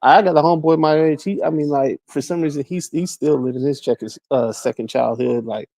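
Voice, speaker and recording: A man in his mid-forties with a gravelly, mellow voice, talking in halting bursts packed with "like". The recording is dry and close, with no room sound. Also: on the high side, no echo. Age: 40s. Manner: halting, many "like" and repeated words. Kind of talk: casual chat.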